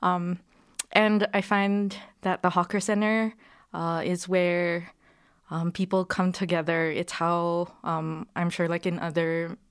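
A woman's voice: on the low side, little pitch movement, slow, smooth. A woman, a playwright and actor answering interview questions hesitantly, with many ums. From Singapore. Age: 30s.

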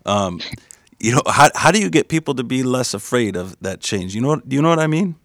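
A man's voice: mid-range voice, animated, fairly quick, a bit bright, dry recording, clean studio sound. A man interviewing in a casual literary discussion, phrasing his questions in a hesitant, searching way.

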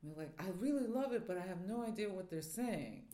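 New York theater professor in an echoey room. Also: male voice, high-pitched, lively intonation, fairly quick, mellow. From New York City. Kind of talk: reflective interview talk. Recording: roomy echo.

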